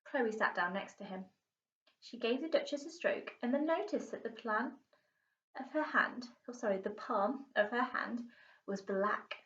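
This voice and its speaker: A woman's voice: on the high side, animated, unhurried, fairly bright tone. A British woman, a primary school teacher, reading a storybook aloud expressively. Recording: muffled, echoey room, distant mic.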